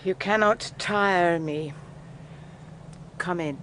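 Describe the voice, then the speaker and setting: An actress playing a majestic, forbidding old widow in a period audio drama, terse and solemn. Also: female voice, fairly low pitch, drawn-out words, a bit bright, slight background noise. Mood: cold, guarded.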